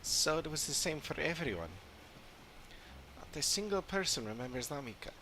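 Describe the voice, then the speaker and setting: A man voicing earnest, analytical fantasy adventurers from a video game in measured, formal phrasing. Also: on the high side, animated, pushed and bright, a little hiss or hum. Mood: earnest, puzzled. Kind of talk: dramatic read-aloud of game dialogue.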